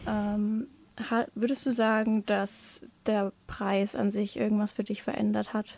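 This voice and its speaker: Woman interviewer with slightly creaky, even delivery. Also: mid-range voice, unhurried. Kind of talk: conversational interview questions.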